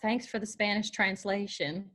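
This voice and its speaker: A woman playing a guarded, sharp-witted young country singer in a screenplay table read. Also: mid-range voice, steady tone, moderate pace, lightly acted. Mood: exasperated, dry. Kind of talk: screenplay table read, with some soft singing.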